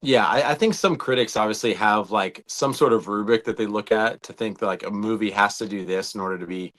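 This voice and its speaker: This is an American man with a somewhat low-pitched voice. He talks as a co-host in casual discussion, laying out his opinions conversationally and with emphasis. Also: fairly quick, fairly bright tone. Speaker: show co-host.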